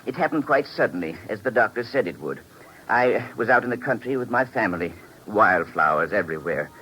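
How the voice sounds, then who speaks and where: An actor playing a mild, courteous little old blind gentleman, hesitant and formal, in a 1950s radio drama. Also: on the low side, animated, fairly quick. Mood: wistful. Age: older. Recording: noisy vintage 1950s radio recording.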